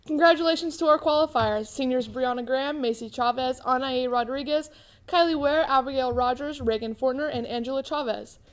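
A young female co-anchor reads school news from a small studio desk. Her voice is high, and she reads the lists of names and dates at a steady, even pace. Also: a little fast, poor audio. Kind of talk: school morning news broadcast. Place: indoor studio before green screen.

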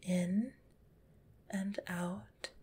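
A woman softly whispering a guided relaxation in an ASMR style, gentle and soothing, with a slightly stuffy nose. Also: medium pitch, steady tone, very slow. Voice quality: breathy whisper; stuffy-nosed. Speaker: guided visualization narrator.

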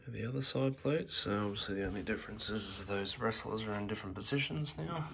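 A man with a gravelly edge to his mid-range voice talks through a product up close. He speaks casually in brief, halting, approving phrases. The phone recording carries some light background noise. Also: lowish voice, fairly bright tone. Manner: short, halting, appreciative remarks. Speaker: product reviewer. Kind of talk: casual hands-on product review commentary. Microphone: phone camera mic.

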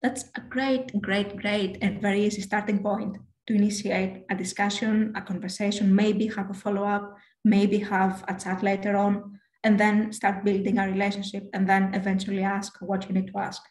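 A woman in her thirties from Greece with a Greek accent and a low voice, talking quickly with many restarts as a guest on a video call interview. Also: little pitch movement, a little mellow, reverberant, poor audio. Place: small room at home. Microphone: headset on video call.

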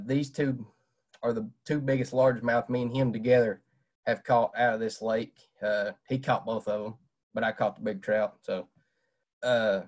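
A young man, giving a casual fishing talk over a webcam with many "uh" fillers. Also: medium pitch. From Southwest Virginia. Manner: plain-spoken, many "uh" fillers. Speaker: fishing presenter. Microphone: webcam on video call.

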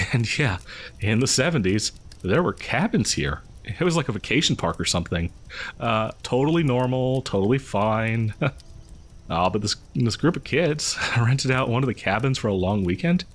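A man's voice: mid-range voice, expressive, moderate pace, lightly acted. A man playing a friendly, awkward young local who shares grim rumors in a hesitant, rambling, conversational way for an audio horror roleplay. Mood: casual, gossipy. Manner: hesitant, rambling, nervous chuckles.

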